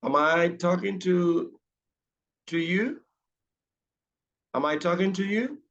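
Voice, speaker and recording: A man's voice: high voice, slow, gravelly, a bit bright, highs cut off. A man teaching an English class online, patient and repeating his questions to coax students to speak.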